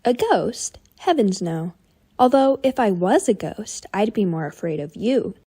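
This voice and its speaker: A woman voicing a gentle, gracious old lady steam engine, polite and composed, in a cartoon comic dub. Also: mid-range voice, very animated, measured pace, husky. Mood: curious, amused. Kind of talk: animated comic dub acting. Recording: music behind the voice.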